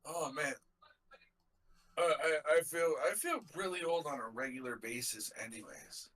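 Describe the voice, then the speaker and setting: A man in his thirties bantering on a live stream, often hunting for the right word. His voice sits a little high, and he sounds set back from the microphone. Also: moderate pace, fairly bright tone, distant mic, poor audio. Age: 30s. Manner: rambling, searching for words, playful. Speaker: co-host of a live game reaction stream. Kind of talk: casual banter.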